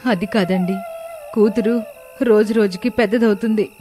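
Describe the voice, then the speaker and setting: A woman's voice: mid-range voice, fairly even intonation, normal speed, warm tone, faint music behind, lightly acted. A woman playing a long-suffering, worried wife and mother in a moral story, plaintive and earnest. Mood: worried reproach. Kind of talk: dramatised moral story dialogue.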